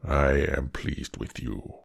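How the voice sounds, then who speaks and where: Man voicing a grave, commanding storybook beast, very low and rough, husky. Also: slow, no echo, studio quality, heavily acted.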